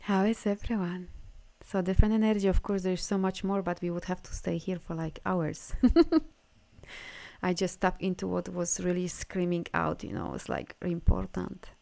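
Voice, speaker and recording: A woman's voice: lowish voice, little pitch movement, normal speed, creaky, warm tone. A woman from Poland, hosting a casual spiritual live stream as an energy healer, gushing with praise for her viewers. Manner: effusive, gushing praise and frequent laughter.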